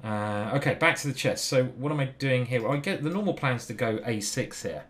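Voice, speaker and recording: A man's voice: mid-range voice, expressive, brisk, fairly bright tone. A young British man streaming live, chatting casually and thinking aloud about his chess moves.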